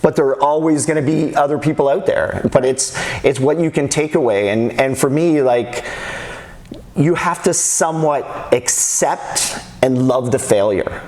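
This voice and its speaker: A man's voice: medium pitch, a little slow, darkish tone. Hesitant young man. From Canada. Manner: hesitant, many restarts and fillers. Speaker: interview guest, business owner. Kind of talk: casual interview conversation.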